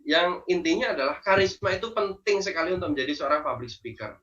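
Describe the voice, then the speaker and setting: A man with a strong Javanese accent teaching public speaking, lively and persuasive, posing rhetorical questions. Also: a little high, quick pace, distant mic, lo-fi sound. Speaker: guest speaker and trainer. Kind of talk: online class teaching.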